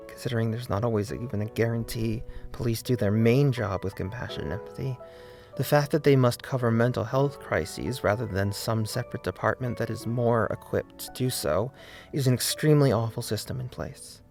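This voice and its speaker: A man narrating a scripted video essay in an earnest, deliberate way, with emphasis on key words. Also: medium pitch, a little mellow. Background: faint background music.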